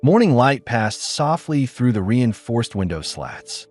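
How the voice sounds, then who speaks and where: Male audiobook narrator, lively pitch, faint music underneath. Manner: measured storytelling. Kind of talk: scripted narration.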